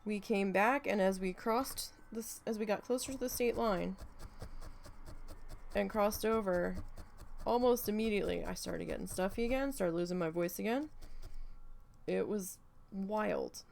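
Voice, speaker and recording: A woman talking in a relaxed, meandering vlog chat as she works on a craft, her voice carrying a gravelly fry. She wanders from point to point with plenty of fillers and casual swearing, at an ordinary middle pitch. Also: a little slow, pauses often. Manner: rambling, full of fillers, swears freely. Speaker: craft vlogger. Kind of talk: casual chat while needle felting.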